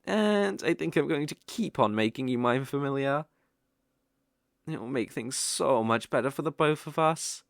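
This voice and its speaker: A man's voice: medium pitch, lively intonation, vocal fry, no room sound, clean studio sound. Man acting a possessive, lilting British fox spirit. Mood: possessive, smug. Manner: coaxing, slow, with eager flustered outbursts. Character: possessive, sly, lovestruck male fox-spirit mage. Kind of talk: ASMR audio roleplay.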